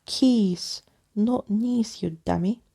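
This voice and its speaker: A woman acts out a mischievous storybook girl with a short temper. She is bossy and sneering, snapping insults in a creaky, slightly husky voice. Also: mid-range voice, extremely slow, warm tone, exaggerated voice. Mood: exasperated.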